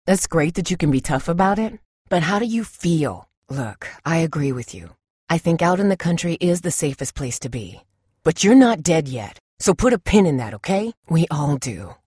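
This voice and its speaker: A tough woman survivor in a dramatic video game, with a low, husky voice. She is blunt and no-nonsense yet caring, and her pitch moves widely as she speaks. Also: animated, normal speed, a little mellow, no room sound, studio quality. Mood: firm, urgent.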